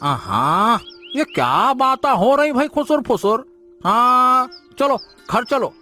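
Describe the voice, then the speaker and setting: A man's voice: extremely high pitch, big pitch swings, slow, sharp tone, faint music behind, heavily acted. An actor playing a fussy middle-aged village father in a cartoon, scolding in a wheedling, mock-polite way. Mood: bossy coaxing.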